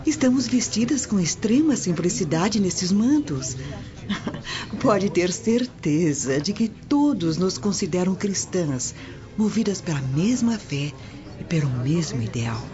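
A devout Roman woman in a radio drama, a reassuring friend with a lowish, solemn voice. Music plays behind her and the sound is slightly dull. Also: big pitch swings, slow. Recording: dull recording under loud music.

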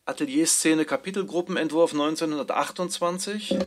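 A man's voice: on the high side, brisk, faint music behind. A man announcing titles and dates from a script in a radio drama. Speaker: announcer of chapter drafts.

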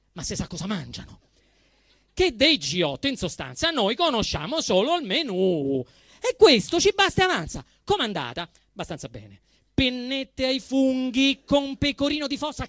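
Male, Roman accent, stage satirist. Bright, forceful voice, big dynamic swings from deadpan to indignant, dry sound. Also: very high voice, normal speed, dry recording. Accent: Roman Italian. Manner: emphatic, swinging from hushed deadpan to shouted outrage. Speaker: actor performing a comic monologue. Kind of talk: theatrical satirical monologue to a live audience.

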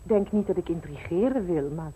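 A woman's voice: lowish voice, animated, normal speed, mellow, slightly rough, low-quality recording. An actress in a radio play voicing a genteel, sweetly insinuating society lady with an arch, coy manner.

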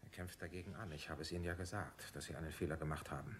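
Casting: disapproving interrogation officer for radio play, deep, brisk, formal, clipped, over audible background noise. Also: male voice, steady tone. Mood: reproachful. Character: measured, cautioning military major running drug interrogation equipment. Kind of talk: German radio drama acting.

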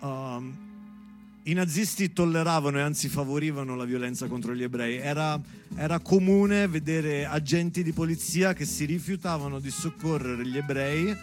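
A man's voice: fairly high pitch, moderate pace, faint music behind. A man delivering a storytelling theatre monologue as if to his daughter, with conversational asides and dramatic emphasis. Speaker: stage actor and monologuist.

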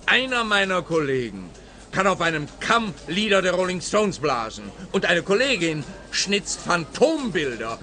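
Pompous radio-play policeman, sharp forceful tone, slightly high, grumbling, self-righteous. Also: sing-song, unhurried, full character voice. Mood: smug. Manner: pompous, lamenting, emphatic. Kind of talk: radio play comedy dialogue.

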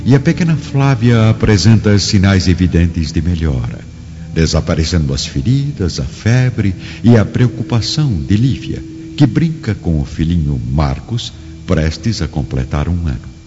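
Male radio drama narrator, very low and lively, dull recording with music behind. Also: unhurried, big-room sound.

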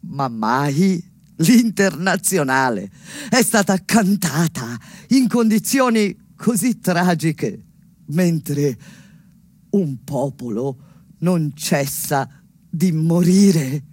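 An actress playing a grave, impassioned survivor in a dramatic stage narration, speaking solemnly and deliberately with weighty pauses. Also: female voice, low voice, expressive, full character voice. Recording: loud plucked-string music behind. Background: loud music with plucked strings, guitar.

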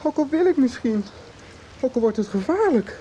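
An actor playing a haunted, driven teenage boy in a radio soap, speaking haltingly and intensely, with rain behind him. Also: very high-pitched, very animated, mellow, a little hiss or hum, low-quality recording. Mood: resolute. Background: rain, music.

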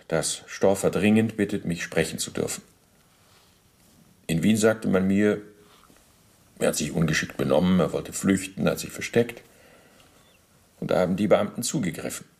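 A man's voice: lowish voice, creaky, darkish tone. A male actor in a German radio play, playing an evasive, self-justifying Nazi bureaucrat under interrogation, speaking in stiff officialese. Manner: officialese, stiff, shifting responsibility.

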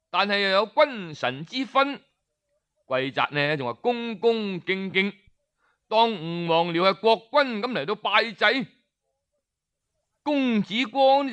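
A man with a Cantonese accent narrating a historical tale in traditional oral storytelling style, expressive and colloquial, addressing his listeners with small interjections. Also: a little high, clear, resonant tone.

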